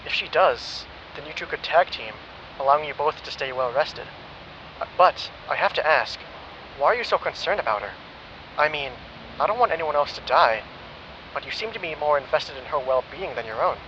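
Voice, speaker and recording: A man playing a tired, caring, slightly formal ally who speaks in a measured, concerned way, on dull, noisy audio. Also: fairly high pitch, lively intonation, sharp tone.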